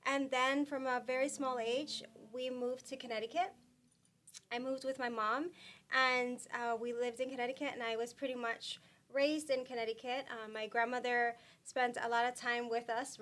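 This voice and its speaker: Soft-spoken woman from Puerto Rico. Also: fairly high pitch, little pitch movement, moderate pace. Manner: soft-spoken, frequent fillers and restarts. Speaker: guest. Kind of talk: casual interview conversation.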